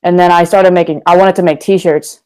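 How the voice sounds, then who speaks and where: A man's voice: sits high, fairly even intonation, a little fast, a little mellow, smooth. A young man from Korea, a guest talking candidly and energetically in a casual podcast interview. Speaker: guest, motivational speaker.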